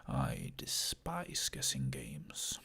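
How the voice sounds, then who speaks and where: A scheming villain lion uncle, sarcastic and mock-sweet, whispered by a reader. The lines come out slow and breathy, with a gravelly edge and big swings in pitch. It is a hushed storybook reading. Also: wildly swooping pitch, full character voice. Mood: sly.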